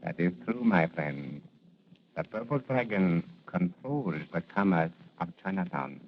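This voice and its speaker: Solemn, low-voiced merchant. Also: male voice, expressive. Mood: grave. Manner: formal, measured, flowery. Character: solemn, courtly Chinese merchant speaking in proverbs. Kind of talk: 1940s radio acting. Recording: muffled, noisy old radio recording.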